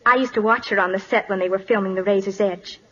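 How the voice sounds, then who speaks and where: A woman's voice: medium pitch, steady tone, fast, fairly bright tone. A young woman in her late teens as a radio guest, eager and polite in a scripted 1940s interview. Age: teens, seventeen. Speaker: guest starlet. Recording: muffled old-time radio recording.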